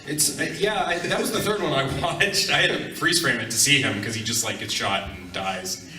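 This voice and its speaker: A man's voice: mid-range voice, normal speed, pushed and bright, a little hiss or hum. A man chatting casually as a panelist. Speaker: panelist at a gaming expo. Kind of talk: casual chat. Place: convention panel room. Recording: echoey room, distant microphone.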